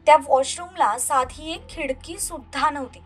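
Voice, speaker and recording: A woman with a high-pitched voice narrates a romantic audio drama. Her delivery is scripted and dramatic. Faint music plays behind her. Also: moderate pace.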